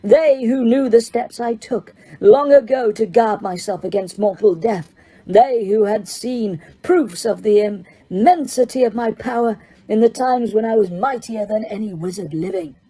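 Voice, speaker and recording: A chilling male dark lord, as a woman reader puts him on, speaking slowly in a quiet, mellow voice raised above her own. He hisses out his threats with cold, unhurried menace. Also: a little high, poor audio. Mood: brooding.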